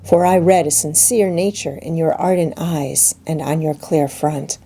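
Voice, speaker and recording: A woman voicing a calm, pious schoolgirl friend in a storybook reading, measured, earnest and gently reproving. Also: sits low, vocal fry, breathy edge, full character voice. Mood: earnest, devout. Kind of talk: dramatised audiobook reading.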